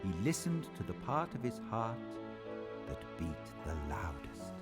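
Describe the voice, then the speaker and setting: A man's voice: on the low side, sing-song, measured pace. Man narrating a storybook over music.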